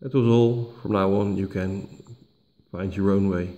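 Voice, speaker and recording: Man, non-native english speaker, deep-ish voice, very slow pace, hesitant fillers, home camera recording. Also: warm tone, dull audio. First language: not English, picks Dutch. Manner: unhurried, many uh fillers, self-corrections. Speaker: tech tutorial host. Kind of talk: slow step-by-step screen walkthrough. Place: home dining room, indoors.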